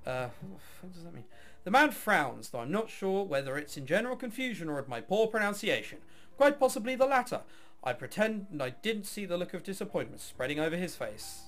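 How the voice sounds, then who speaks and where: A man's voice: high-pitched, big pitch swings, fairly quick, a bit bright, faint music behind. A man reading a visual novel aloud, mixing dramatic narration with casual, offhand commentary. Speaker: video game let's player.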